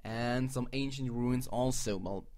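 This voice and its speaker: A man's voice: mid-range voice, fairly even intonation, measured pace, a little mellow. A man from Switzerland with a Swiss accent, speaking English as a non-native host in a casual, rambling, playful commentary, often correcting himself. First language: a language other than English. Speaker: host of a video channel. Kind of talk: casual commentary on game crowdfunding projects.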